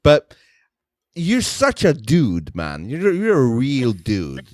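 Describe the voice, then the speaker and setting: A man who does not speak English as his first language, co-hosting a podcast in casual chat, animated and playfully teasing. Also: medium pitch, slow, no echo, studio quality.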